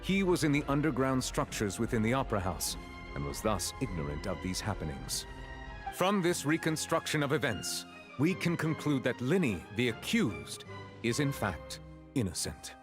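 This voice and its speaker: Man playing a measured, formal chief justice. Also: mid-range voice, sing-song, over music, natural voice. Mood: stern. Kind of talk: video game voice acting.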